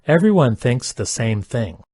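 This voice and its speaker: A man reading simple English practice sentences aloud, each one twice, in a careful, even delivery. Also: mid-range voice, a little slow, husky, dry recording. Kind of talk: slow repeated sentence reading for learners.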